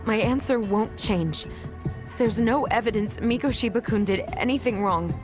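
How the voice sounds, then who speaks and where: A woman playing a guarded, guilt-ridden young schoolteacher in a dramatic game cutscene, speaking in a measured, reluctant and earnest way. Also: fairly high pitch, close to an everyday voice. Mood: guarded, curt. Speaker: English teacher. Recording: dramatic music behind.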